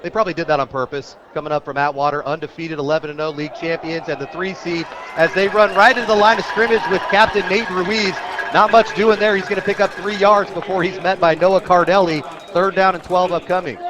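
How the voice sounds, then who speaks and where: A man's voice: high voice, fairly even intonation, brisk. An American man doing live play-by-play radio commentary of a football game from a stadium booth. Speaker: play-by-play announcer. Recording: stadium crowd noise behind voice. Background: crowd at an outdoor stadium.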